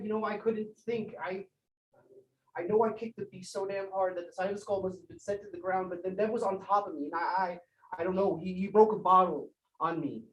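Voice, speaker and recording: A man's voice: sits high, highs cut off, close to an everyday voice. An actor playing a shaken young man who stammers as he retells a violent fight, in a stage play. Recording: roomy, distant from mic.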